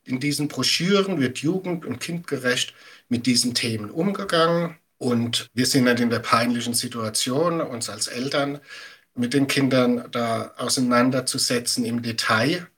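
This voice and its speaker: A man's voice: mid-range voice, moderate pace, a bit bright, husky. A man, middle-aged, explaining and giving earnest, emphatic advice as an expert guest in a podcast interview. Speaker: guest media literacy educator.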